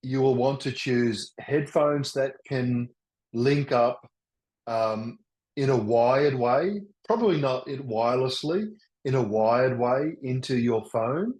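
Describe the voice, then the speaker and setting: An older man presenting a webinar in a loud, enthusiastic voice, often restarting his sentences. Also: medium pitch. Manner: halting, with many restarts. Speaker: workshop presenter. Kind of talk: teaching a webinar. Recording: roomy echo.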